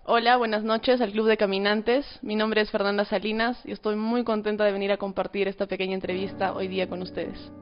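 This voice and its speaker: A woman's voice: medium pitch, flat delivery, a little fast, hardly any pauses. A woman with a Cusco Peruvian accent, a singer-songwriter guest answering casually in an interview. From Cusco, Peru. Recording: slightly dull sound, faint music behind.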